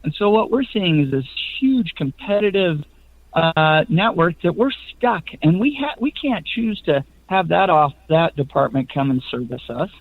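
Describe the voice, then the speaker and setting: A man's voice: a little high, lively intonation, normal speed, no echo. Man calling in over a tinny phone line. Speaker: caller. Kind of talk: call-in radio comment.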